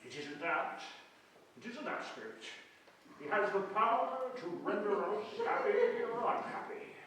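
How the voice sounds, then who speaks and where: A man's voice: high voice, big pitch swings, fairly bright tone, exaggerated voice. Man acting remorseful old Scrooge, swooping, echoey. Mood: fervent. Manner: theatrical, emotional, halting. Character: Scrooge, old miser softened by remorse and nostalgia. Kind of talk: staged dramatic reading before an audience. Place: small hall stage, distant camera, audience present. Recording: echoey hall from far off, faint noise.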